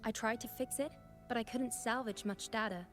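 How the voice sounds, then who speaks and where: A woman's voice: fairly high pitch, normal speed, lightly acted. A woman voicing a curious, persistent researcher in a video game, recounting what she knows in a thoughtful way. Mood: matter-of-fact. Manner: thoughtful storytelling. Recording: game dialogue over clear music.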